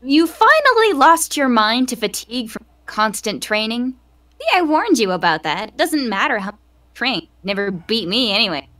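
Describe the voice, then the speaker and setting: A caring young female adventurer, played by a woman in a visual novel, who likes to tease her friend. Her voice is high and very lively in melody, and sounds a bit distant from the mic. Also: normal speed. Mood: teasing. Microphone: online voice call.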